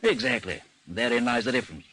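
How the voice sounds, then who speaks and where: An actor playing a composed, dry investigation chief in an old radio drama, speaking and narrating in a formal, understated way. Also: male voice, medium pitch, animated, quick pace, background noise, lightly acted. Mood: measured, dry. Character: composed, dry British chief of a missing persons bureau, narrating his case.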